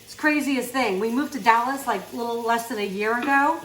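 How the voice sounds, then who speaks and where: A woman's voice: a little high, brisk, fairly bright tone, reverberant, slight background noise, poor audio. A woman from the Northeast US with a Northeastern accent hosts a cooking channel, chatting casually as she works in her home kitchen. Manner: chatty, upbeat, talks while working.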